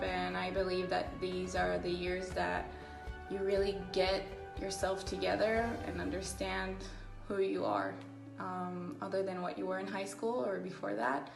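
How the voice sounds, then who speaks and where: A woman's voice: medium pitch, vocal fry. A woman in her twenties with an Israeli accent, speaking earnestly and reflectively in an interview. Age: 20s. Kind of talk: interview testimonial. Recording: roomy echo with music behind.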